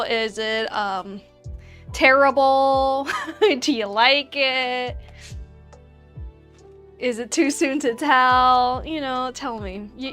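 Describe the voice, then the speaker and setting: A young woman with a fairly high voice hosts a relaxed livestream and chats straight to her viewers. She teases herself and laughs a lot between thoughts. Soft music plays faintly behind her. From Argentina. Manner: chatty, self-teasing, laughs often. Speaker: livestream host. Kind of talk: casual livestream chat with viewers.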